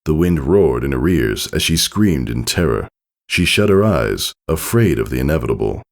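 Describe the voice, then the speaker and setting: A man's voice: extremely low pitch, very animated, normal speed, gravelly. A man reading scripted fiction aloud as a dramatic storyteller. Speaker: fan fiction narrator. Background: music.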